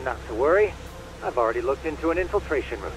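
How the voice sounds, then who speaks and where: A man's voice: mid-range voice, animated, fast talker, noisy background, close to an everyday voice. A man playing a polite, eager and confident tech expert with formal, precise speech in a video game dub. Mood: helpful, assured. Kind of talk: dramatic video game voice acting. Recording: dull band-limited sound, faint music.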